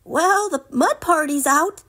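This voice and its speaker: A woman voicing an excitable young male pig in a squealing, exuberant storybook voice. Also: high-pitched, takes it slowly, pitched above the performer's own voice. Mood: let down.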